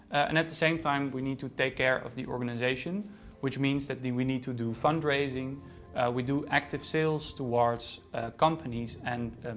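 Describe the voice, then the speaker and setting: A man from the organization's team explaining how their programs work in an interview, matter-of-fact with frequent fillers. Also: medium pitch. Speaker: organization staff member.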